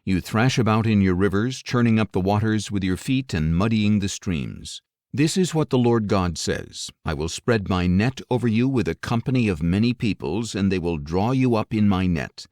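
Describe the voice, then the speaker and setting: Man, low-pitched voice, solemn audiobook scripture narration, measured declamatory delivery, dry close sound. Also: expressive, a little mellow, no room sound.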